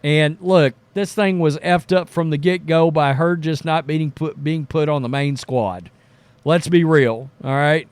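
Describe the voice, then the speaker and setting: A man's voice: on the high side, steady tone, measured pace, clear, resonant tone, no echo. A man giving casual, opinionated sports commentary as a show host, talking in a blunt, informal way. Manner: blunt, informal, mildly profane.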